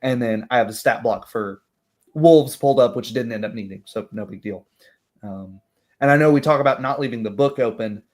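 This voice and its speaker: A man's voice: mid-range voice, a little fast, darkish tone. A man talking casually as a game master after a session, thinking aloud in a rambling way. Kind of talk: casual post-game discussion.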